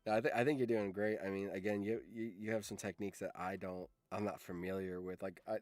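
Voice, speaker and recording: A man with a fairly low voice and a dry, close sound. He chats casually as a co-host on technical editing matters, feeling his way through each thought. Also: fairly even intonation, fast, darkish tone, no room sound. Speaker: stream co-host commenting on editing. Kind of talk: casual tech chat.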